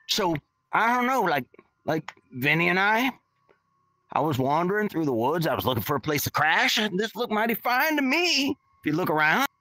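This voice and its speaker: A man's voice: a little high, pushed and bright, no echo, exaggerated voice. A man playing a laid-back, centuries-old skeleton rocker who talks like a drawling slacker and says "man" constantly. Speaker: bassist and singer in the guest band. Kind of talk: in-character storytelling. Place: virtual-world podcast, dry sound.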